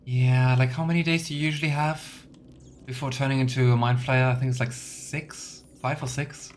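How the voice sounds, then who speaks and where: A man's voice: medium pitch, little pitch movement, moderate pace, slight background noise. A man hosting a let's play, chatting casually and musing aloud as he goes. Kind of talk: casual game commentary. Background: game music.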